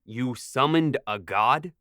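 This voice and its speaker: An inquisitive, easily astonished traveler, acted by a man, in a fantasy audio drama. His voice has an average male pitch and is recorded very dry. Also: expressive, slow, bright, forceful tone, no room sound. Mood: stunned.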